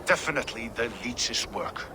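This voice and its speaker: A man's voice: medium pitch, expressive, normal speed, noisy background, natural voice. An actor playing a grim, watchful man guarding a city in a video game, speaking in terse, wry phrases. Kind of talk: video game cutscene acting.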